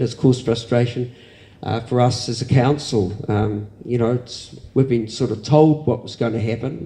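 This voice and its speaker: A man speaks to a community meeting as a councillor. His voice is dark and mellow, and he talks in an earnest, halting way, often pausing on fillers. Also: medium pitch. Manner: earnest, halting with many ums. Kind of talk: public meeting address.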